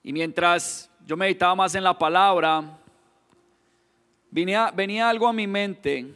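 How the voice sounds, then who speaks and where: A Colombian man in his thirties delivers an earnest sermon, his voice sitting high. He stumbles and repeats words, then pushes into pointed questions. The sound carries a long reverb from a church hall. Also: unhurried, a bit bright. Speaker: preacher.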